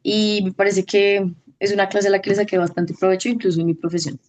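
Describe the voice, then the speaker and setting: A woman's voice: mid-range voice, little pitch movement, fairly quick, a little mellow. A woman, a teacher giving a testimonial in an interview. She speaks in a thoughtful, hesitant way, with restarts. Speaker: au pair program participant, teacher.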